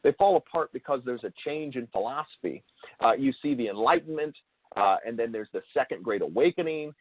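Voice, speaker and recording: A man's voice: medium pitch, animated, no echo. A man's voice comes through a thin, phone-like connection with a dry sound. He speaks as an interview host explaining ideas off the cuff, repeating and rewording phrases as he goes and leaning on key words. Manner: halting, repeats and rephrases himself, emphatic on key words. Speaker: show host interviewing a guest. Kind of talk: unscripted explanatory discussion. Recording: thin phone-like call audio.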